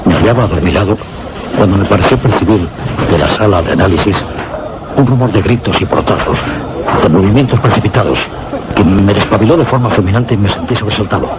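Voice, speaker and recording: Actor as very deep-voiced suspense narrator, noisy recording. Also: male voice, lightly acted. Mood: ominous. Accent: Castilian Spanish. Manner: measured, formal storytelling. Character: male meteorologist narrator recounting mysterious frightening events. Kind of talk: suspense radio theatre narration. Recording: noisy old radio drama recording.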